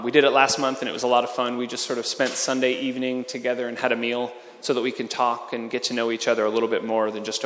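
A man's voice: mid-range voice, fairly bright tone. Guy leading church, welcoming visitors with lots of ums, big echoey sanctuary. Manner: friendly, inviting, with fillers. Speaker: worship leader.

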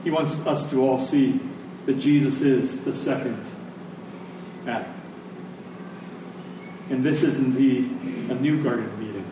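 A man preaching a sermon to a congregation, phrasing deliberately and emphatically and repeating lines for effect. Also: mid-range voice. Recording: echoey room, distant mic, faint noise.

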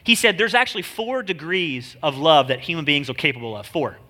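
A man's voice: on the high side, expressive, a little fast, bright, forceful tone. A man with a nasal voice, a guest preacher giving a casual, joking sermon full of slang. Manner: chatty, joking, slangy delivery.

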